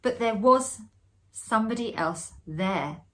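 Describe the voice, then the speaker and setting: A woman voicing an earnest, uneasy gentleman in a ghost story, speaking insistently. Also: mid-range voice, animated, takes it slowly. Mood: rattled insistence.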